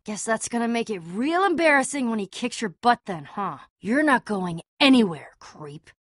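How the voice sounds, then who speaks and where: A woman voicing a tough, guarded but loyal young female adventurer in an animated adventure game, husky and blunt, with a casual, earnest delivery. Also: a little high, normal speed, dry recording, exaggerated voice. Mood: taunting, angry. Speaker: game voice actress.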